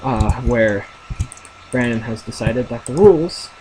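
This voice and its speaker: A young man casually commenting on his own game as he plays, thinking aloud with lots of fillers and a deep voice. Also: a little slow, a little mellow, a little hiss or hum, poor audio. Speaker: gamer narrating his play.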